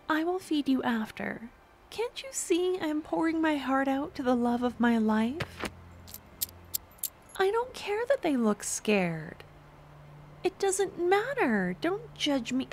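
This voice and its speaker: A woman voicing an obsessive, lovesick young necromancer stalker in an intimate ASMR roleplay, with a breathy, adoring, possessive murmur that often slips into whispers. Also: high-pitched, exaggerated voice. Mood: exasperated. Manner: adoring, possessive, halting dramatic delivery.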